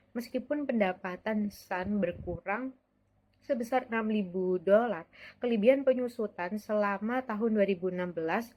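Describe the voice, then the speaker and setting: A woman's voice: medium pitch, darkish tone. A young woman reading explanatory material aloud.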